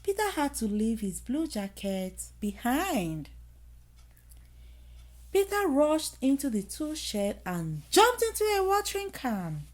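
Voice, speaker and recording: A woman reading a children's bedtime story aloud in an expressive manner, with dramatic emphasis. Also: sits high, slow. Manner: expressive, dramatic emphasis with acted sound effects.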